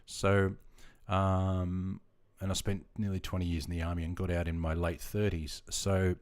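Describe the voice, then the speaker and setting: Deep-voiced Australian man, chatty. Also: flat delivery, moderate pace, a little mellow. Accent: Australian, with some Queensland features. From Sydney, Australia. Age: middle-aged. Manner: chatty, friendly, greets viewers by name. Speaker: gaming livestreamer. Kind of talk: casual chat with viewers.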